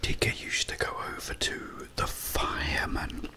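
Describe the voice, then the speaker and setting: A middle-aged man whispering personal stories for ASMR in a hushed, halting, unhurried manner. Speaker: ASMR creator.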